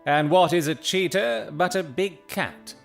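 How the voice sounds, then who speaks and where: An actor playing a coolly analytical Victorian gentleman detective in an audio drama, speaking precisely and formally with dry authority. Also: fairly high pitch, a little slow, creaky, faint music behind. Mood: keenly observant. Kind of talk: period audio drama acting.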